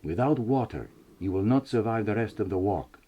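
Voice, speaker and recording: A low-pitched, slightly husky man reads a story in a South Sudanese Dinka accent. His dramatic narration is expressive and wide-ranging. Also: normal speed, dull audio. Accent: South Sudanese, Dinka (coached). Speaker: audiobook narrator and actor. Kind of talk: dramatic scripted audiobook narration.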